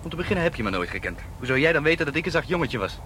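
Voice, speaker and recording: A young male student rebel in a radio drama. He talks fast with lively swings of pitch, blunt, sardonic and dismissive, over some background noise. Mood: defiant. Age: young adult. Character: defiant, cynical young student activist, estranged from his father. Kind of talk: Dutch radio play acting.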